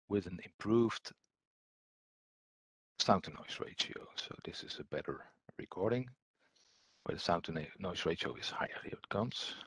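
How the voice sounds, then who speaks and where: Guy with a deep voice explaining technical stuff in a webinar, kinda halting, close dry sound. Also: husky, no room sound, poor audio. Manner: hesitant, halting delivery. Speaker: webinar presenter, technical trainer.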